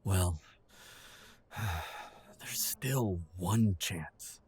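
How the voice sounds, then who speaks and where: A man's voice: sits low, animated, very slow, darkish tone, slightly rough, full character voice. A man playing an aging, earnest father in an audio drama, defensive and hesitant with his grown son. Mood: pleading, hesitant. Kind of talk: audio roleplay drama acting.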